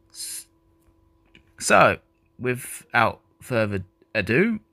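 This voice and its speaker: A man's voice: mid-range voice, creaky, fairly bright tone, no room sound. A man who is not a native English speaker, chatting casually while unboxing a model train, rambling and correcting himself.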